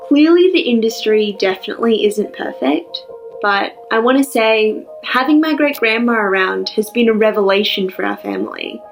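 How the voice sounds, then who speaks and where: A woman acts out a young female forum commenter in a story reading, upbeat and conversational. Her voice has plenty of vocal fry, over clearly audible music. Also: a little high, lively intonation, moderate pace. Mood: chatty.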